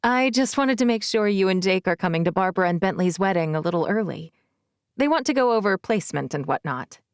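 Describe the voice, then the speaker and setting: A woman's voice: mid-range voice, lively intonation, a little fast, clear, resonant tone, dry recording, natural voice. Teasing, affectionate mother for audiobook dialogue, played by a female narrator. Inquisitive, playful, dry recording. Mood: brisk, curious.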